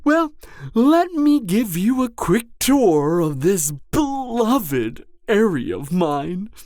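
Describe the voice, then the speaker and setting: Male actor as gloating cannibal serial killer, audio drama. Pompous and theatrical, unhurried delivery, wildly varied intonation. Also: sits high, sing-song, darkish tone, no room sound, clean studio sound, exaggerated voice. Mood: proud, menacing. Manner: theatrical, drawn-out phrasing with frequent chuckling. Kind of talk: roleplay audio drama monologue to the listener.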